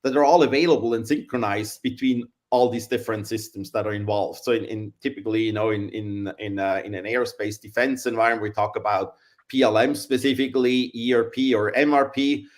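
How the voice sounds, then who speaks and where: A middle-aged man from Switzerland, answering interview questions in a measured, hesitant way with many fillers. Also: lowish voice, fairly even intonation. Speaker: guest, engineering consultant.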